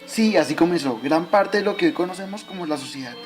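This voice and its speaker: Man playing a patient father's spirit, higher-pitched voice. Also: expressive, fast talker, faint music behind, natural voice. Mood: patient, solemn. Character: dead father guiding his son through history, patient, instructive. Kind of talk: amateur radio drama.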